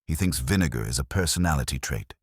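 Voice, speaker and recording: Man putting on a sardonic woman journalist, arch, pointed, low husky tone, mystery audiobook. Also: expressive, fast talker, no room sound, clean studio sound, full character voice. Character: sharp, sardonic female journalist.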